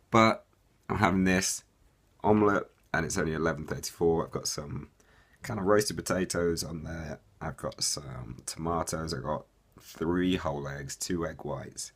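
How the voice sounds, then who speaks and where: Hoarse very deep-voiced man. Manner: explanatory, relaxed, slightly rambling. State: hoarse, losing his voice. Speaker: fitness vlogger. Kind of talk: casual vlog narration.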